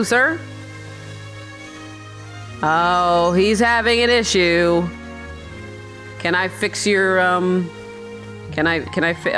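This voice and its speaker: A woman's voice: medium pitch, a bit bright. A woman hosting a game playthrough with casual commentary, playful, irreverent and chatty. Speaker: gamer host of a playthrough.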